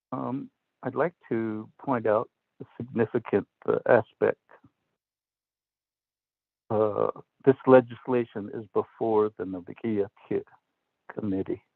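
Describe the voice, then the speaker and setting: A man delegate questioning an official at a committee meeting, slow, deliberate and stern. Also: mid-range voice, creaky, no echo. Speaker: council delegate. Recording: dry tinny phone line.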